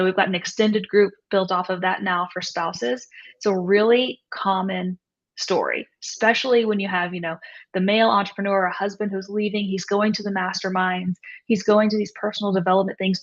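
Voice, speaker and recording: Female interview guest, mid-pitched, candid and explanatory, conversational delivery, slightly muffled sound. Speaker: author and coach, interview guest. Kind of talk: casual interview talk.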